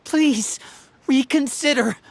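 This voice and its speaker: A woman's voice: high voice, takes it slowly, vocal fry, heavily acted. A woman playing an earnest, heartfelt young member of an orphanage family in a dramatic video game. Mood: earnest pleading.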